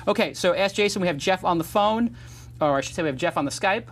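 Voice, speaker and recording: A man hosting a casual startup interview show, talking fast and joking, with opinionated asides and sponsor reads. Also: high voice, expressive, noisy background. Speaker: host.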